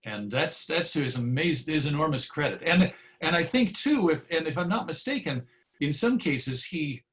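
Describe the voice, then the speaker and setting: A man's voice: fairly high pitch, expressive, normal speed, fairly bright tone. A man speaking as an interview guest, telling discursive, articulate anecdotes in a conversational way about his field of history.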